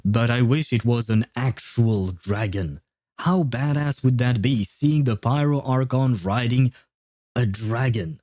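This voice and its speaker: A man's voice: medium pitch, unhurried, mellow, no echo, clean studio sound. A man chatting casually as a game livestream host, rambling and opinionated. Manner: rambling, opinionated, often chuckling. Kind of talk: casual gaming livestream chat.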